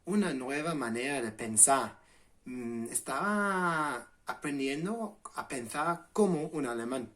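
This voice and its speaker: A man in his late twenties from England, a native English speaker with an English accent, giving a relaxed spoken lesson to camera and pausing often to restart with fillers. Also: mid-range voice. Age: late 20s. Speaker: language channel host.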